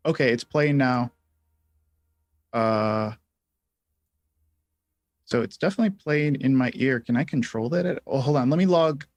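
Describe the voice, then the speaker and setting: A man hosting a casual live software tutorial, thinking aloud in a halting way as he explains things step by step. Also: medium pitch. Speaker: livestream host and software instructor.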